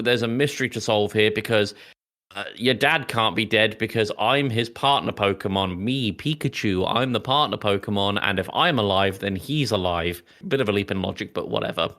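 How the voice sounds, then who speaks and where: A man's voice: fairly low pitch, normal speed, a bit bright. A man co-hosting a podcast, recapping a film in a casual, rambling way that often interrupts itself. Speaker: podcast co-host.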